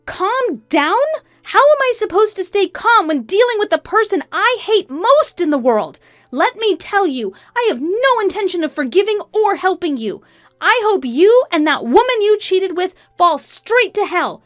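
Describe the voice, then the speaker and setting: A woman's voice: high-pitched, big pitch swings, moderate pace, dry recording, clean studio sound, pitched above the performer's own voice. A woman voicing a betrayed, defiant wife, scornful, emphatic and accusing, in a narrated story. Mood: furious. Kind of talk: acted dialogue in a narrated story.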